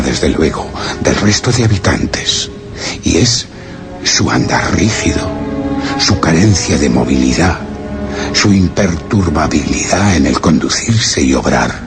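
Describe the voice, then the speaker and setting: Very deep, grave explorer. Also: male voice. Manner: grave, measured, literary delivery. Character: solemn, anguished male explorer recounting grim discoveries. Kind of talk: dramatic radio play narration, first-person horror tale. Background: music.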